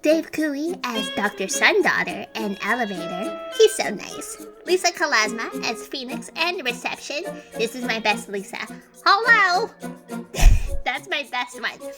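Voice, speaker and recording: A woman's voice: high-pitched, big pitch swings, unhurried. A woman reading podcast credits in a playful, bubbly way with chatty asides. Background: music.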